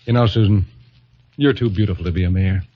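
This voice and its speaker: Wry, husky-voiced suitor, vintage radio. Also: male voice, deep voice, fast. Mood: smitten. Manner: wry, sarcastic. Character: wisecracking, jealous male suitor of a lady politician. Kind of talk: old-time radio comedy acting. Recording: muffled vintage radio recording.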